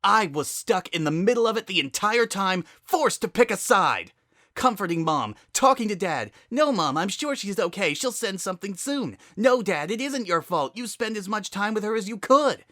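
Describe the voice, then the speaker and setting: A bitter, furious female pegasus pony from a dramatic story reading, acted out by a man. The voice is pushed well above a natural male pitch and is bright and forceful. She hurls accusations with wide, animated swings. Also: normal speed, no room sound, clean studio sound. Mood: furious screaming. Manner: accusing, forceful, loud outbursts.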